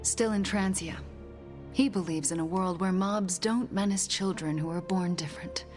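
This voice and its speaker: A woman playing a guilt-ridden, determined young sorceress in a video game cutscene, speaking softly and earnestly with breathy phrase endings. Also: on the low side, normal speed, faint music behind, close to an everyday voice. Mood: reflective.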